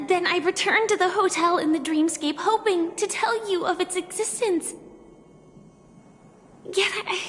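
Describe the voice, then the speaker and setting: Soft earnest game girl, high slow voice, reverb and music behind. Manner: soft, earnest, halting confession. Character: gentle young woman revealing a hidden identity. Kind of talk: video game voice acting.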